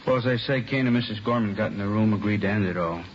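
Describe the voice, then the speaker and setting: A man's voice: lowish voice, quick pace, natural voice. An actor playing a matter-of-fact policeman making a report in a vintage radio crime drama, plain and businesslike. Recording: dull, noisy vintage radio recording.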